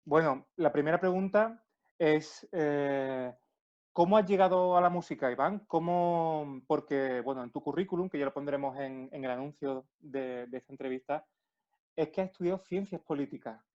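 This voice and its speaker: A man's voice: a little high, normal speed, a little mellow. A male host opening an interview and asking long, rambling questions in a chatty way. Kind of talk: friendly interview hosting. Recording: dull lo-fi audio with faint music.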